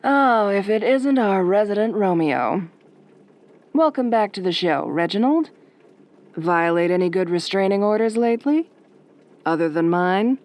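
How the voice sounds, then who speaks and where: A woman playing a wry, flirtatious late-night radio DJ, soft and velvety, dryly teasing in an audio roleplay. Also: medium pitch, expressive, unhurried, close to an everyday voice. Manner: dry, teasing, sardonic.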